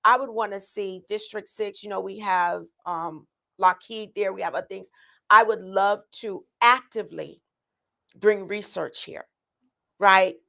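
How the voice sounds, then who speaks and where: A woman's voice: medium pitch, steady tone, measured pace, vocal fry, sharp tone. A woman running for county commissioner, earnestly laying out her plans with many ums and restarts. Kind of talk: answering interview questions.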